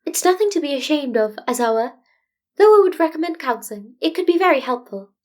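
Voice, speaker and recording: Woman voicing a high, polite animal principal. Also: animated, a little fast. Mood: gently advising. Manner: diplomatic, gently insistent. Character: polite, probing animal school principal, male, well-meaning. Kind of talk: fan fiction audiobook character voice.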